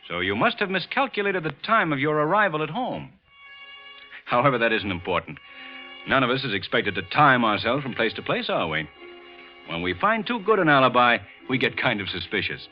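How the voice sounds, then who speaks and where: A man's voice: mid-range voice, big pitch swings, a little fast, faint music behind, natural voice. Man playing a lilting, sly police detective. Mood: slyly probing. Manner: deceptively casual, folksy, insinuating. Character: male police detective, casually probing, slyly suspicious. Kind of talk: old-time radio suspense acting. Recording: muffled, noisy vintage radio recording.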